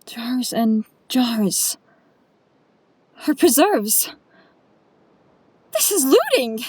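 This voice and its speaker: Slow-spoken, righteous fantasy heroine played by a woman. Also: on the high side, big pitch swings, a little mellow, husky, no room sound, full character voice. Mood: indignant. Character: tall, grieving, righteous young woman in inquisitor's disguise. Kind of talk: fantasy audio drama acting.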